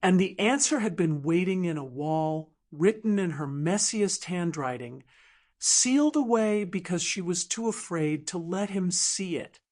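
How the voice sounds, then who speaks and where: Slow-paced woman narrating dramatic fiction. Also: fairly low pitch, expressive, a little mellow. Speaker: audiobook narrator.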